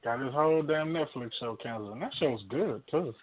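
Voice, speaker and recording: A man's voice: medium pitch, lively intonation, brisk, a little hiss or hum. A man co-hosting in casual banter, recorded with a thin phone-like sound.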